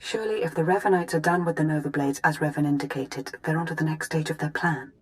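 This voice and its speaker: A woman acts as a senior intelligence ally in a science-fiction game. Her voice is very low for a woman. She is composed, formal and articulate. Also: fast talker, soft background music, close to an everyday voice. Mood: measured, serious. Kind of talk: video game voice acting.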